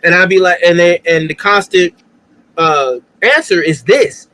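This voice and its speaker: Co-host: high-pitched man from the Cleveland area, easygoing explainer, laughs easily. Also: unhurried, sharp tone. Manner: explanatory, agreeable, laughs often. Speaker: podcast co-host. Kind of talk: casual sports chat.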